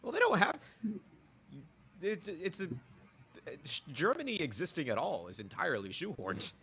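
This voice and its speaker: A man's voice: a little high, huge pitch swings, normal speed, fairly bright tone, a little hiss or hum. A man co-hosting a casual podcast, rambling through restarts and breaking into joke accents now and then. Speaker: podcast co-host.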